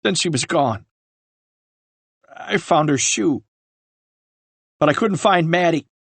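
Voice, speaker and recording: A man voicing a guilt-ridden young man who confesses haltingly, on the edge of tears. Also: fairly high pitch, lively intonation, a bit bright, no room sound, full character voice. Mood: anguished. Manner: halting, breaking with remorse. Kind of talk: audiobook character reading.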